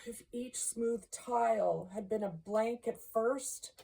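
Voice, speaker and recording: A woman narrating a classic storybook in a deliberate, expressive way, pausing between short phrases. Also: a little high, vocal fry, husky, poor audio. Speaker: amateur audiobook reader.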